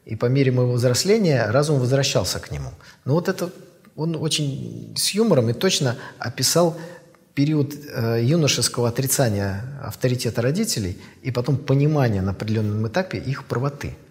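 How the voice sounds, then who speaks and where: A man's voice: medium pitch, animated, a little mellow, long reverb tail. Reflective man in his fifties. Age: 50s. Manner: measured, thoughtful, with pauses and fillers. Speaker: author. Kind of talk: reflective interview answers.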